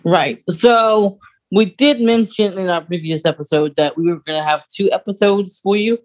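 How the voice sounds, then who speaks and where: Male podcast co-host, higher-than-average pitch, relaxed conversational delivery. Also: moderate pace. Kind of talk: casual podcast chat.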